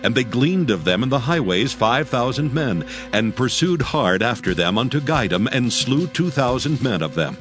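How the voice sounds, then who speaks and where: A man reading scripture as the narrator of a dramatized audio Bible, solemn and measured. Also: medium pitch, big pitch swings. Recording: prominent background music. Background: music.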